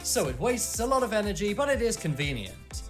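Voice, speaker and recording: Lively scripted list narrator. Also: mid-range voice, a little fast, music in the background. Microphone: voice-over.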